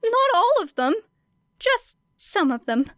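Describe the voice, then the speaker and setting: A woman's voice: extremely high pitch, big pitch swings, slow pace, no room sound, clean studio sound, voice pushed up, heavily acted. A woman voicing a nervous, earnest teenage boy student who talks modestly and hesitantly in an audiobook. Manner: quiet, hesitant, apologetic.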